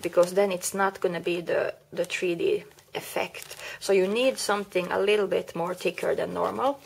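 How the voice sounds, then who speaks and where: A woman's voice: medium pitch, slightly rough. A woman with a cold and a slightly rusty voice, chatting through a card-making tutorial step by step and correcting herself along the way. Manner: chatty, explains step by step with small self-corrections. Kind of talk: casual craft tutorial. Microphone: camera mic over a craft table, hands only.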